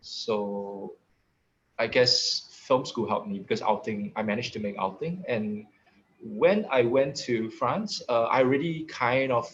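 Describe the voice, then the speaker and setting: A man's voice: medium pitch, measured pace, dull audio. A man film director on a panel, speaking earnestly and hesitantly, with many uhs. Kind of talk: casual panel talk.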